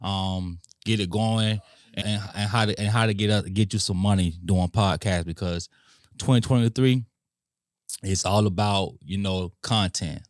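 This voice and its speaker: A man hosting a podcast, relaxed and slangy as he introduces his guest. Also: fairly low pitch, unhurried, dry recording, studio quality. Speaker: podcast host. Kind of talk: casual interview chat.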